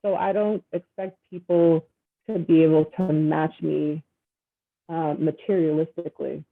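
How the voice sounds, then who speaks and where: Woman on a video call, gravelly and earnest, thinking aloud with fillers, thin phone-line sound. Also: low-pitched, fairly even intonation, unhurried. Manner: earnest, reflective, many fillers. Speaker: dating guest. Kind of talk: casual video-call conversation.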